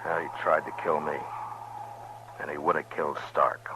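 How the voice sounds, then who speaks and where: A man's voice: extremely low pitch, little pitch movement, moderate pace. A man playing a stern, terse, watchful frontier marshal in an old-time radio western. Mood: weary, resigned. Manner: terse, probing, unruffled. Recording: dull, slightly noisy old radio recording.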